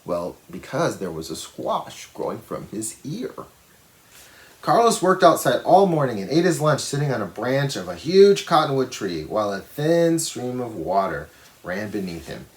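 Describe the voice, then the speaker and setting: Animated man reading aloud to children. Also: mid-range voice, moderate pace. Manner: expressive, explains pictures and checks in with listeners. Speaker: educator reading a picture book to schoolchildren. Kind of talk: read-aloud storytelling with asides and questions to young listeners.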